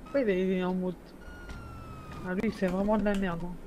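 A woman's voice: lowish voice, level tone, fairly quick. A woman chatting casually in an online police role-play game. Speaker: player acting as police captain. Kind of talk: casual gaming chat. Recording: faint noise, game ambience.

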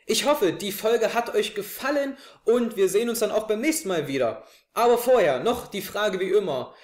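A man's voice: high-pitched, expressive, normal speed, darkish tone. A young man presenting a prepared review to camera in a living room. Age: young adult. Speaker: host of an audio drama review show. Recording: echoey small room.

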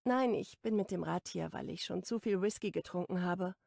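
A woman's voice: fairly low pitch, moderate pace, vocal fry, a little mellow, no room sound, clean studio sound. A woman voicing a stressed, defensive young woman who confides candidly and wryly in an audiobook. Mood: confiding.

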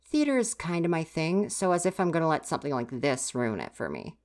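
A woman's voice: sits low, very animated, fairly quick, darkish tone. A woman playing a grumpy, easily flustered university-age stagehand in an ASMR roleplay, huffy and exasperated, talking straight to the listener. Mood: grudgingly helpful. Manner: huffy, exasperated, sighing scolding.

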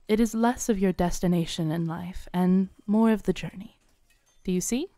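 Young woman, low mellow smooth voice, coaxing fortune-teller roleplay, dry close mic. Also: moderate pace, no echo. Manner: soft, coaxing, gently teasing. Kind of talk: scripted roleplay audio.

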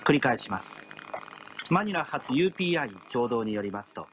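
A man reading a radio news bulletin as an announcer, measured and formal. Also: mid-range voice, big pitch swings, over music. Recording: dull old radio broadcast, faint noise.

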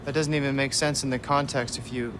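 A man's voice: mid-range voice, fairly even intonation, a little fast, lightly acted. A man playing an awkward, literal-minded young hacker in a game cutscene, pedantic about words. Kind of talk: video game cutscene acting. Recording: noisy ambient game scene.

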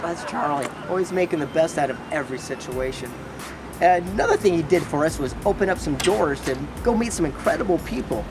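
A man's voice: a little high, animated, a little fast, reverberant, far from the mic, background noise. A man in his forties hosting a documentary, narrating in an upbeat, chatty way and laughing often. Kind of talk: casual documentary vlog narration. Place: various indoor and outdoor locations.

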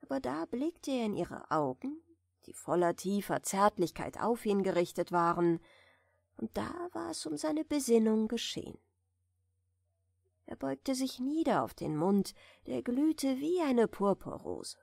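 A woman narrates a bedtime fairy tale in a soft, soothing way. Her voice sits a little high and moves with lively melody, at a very unhurried pace. She reads gently, as if easing a listener to sleep. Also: vocal fry, no room sound, clean studio sound. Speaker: audiobook reader.

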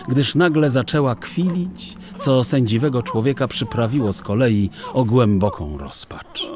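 Lively, expressive male storyteller. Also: mid-range voice, background noise. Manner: expressive, literary. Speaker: narrator. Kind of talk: scripted audiobook narration, storytelling for children.

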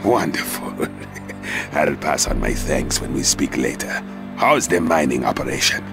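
A man's voice: extremely low pitch, animated, a little slow, creaky, music in the background, full character voice. An actor playing a volatile, foul-mouthed villain boss in a video game, a fat man, pompous and scornful. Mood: smug. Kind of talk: video game cutscene acting.

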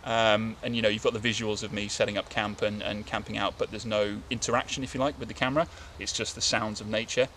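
A man's voice: on the low side, level tone, quick pace, few pauses, bright, forceful tone. A man, talking casually to camera while sitting at his woodland camp, rambling with lots of fillers and restarts. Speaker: bushcraft vlogger. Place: outdoors in winter woodland, seated under a tarp. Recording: light outdoor background noise.

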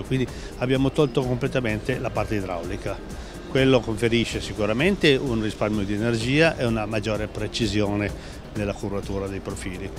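Hesitant man interviewed at a trade fair. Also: fairly low pitch. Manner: hesitant, many fillers and restarts. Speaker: company president interviewed. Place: busy exhibition hall stand. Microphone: handheld interviewer mic. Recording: background music under voice.

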